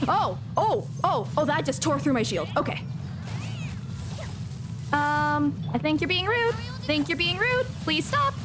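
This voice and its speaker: A woman chatting casually as she livestreams a game, playful and expressive, often mock-scolding. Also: sits high, measured pace. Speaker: game streamer. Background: game music.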